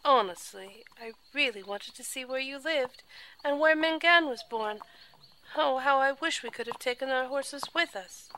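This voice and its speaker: A woman's voice: high voice, moderate pace, bright, forceful tone, a little hiss or hum, poor audio. A woman playing a chatty, tender young noble lady in an audio drama. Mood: cheerful, eager.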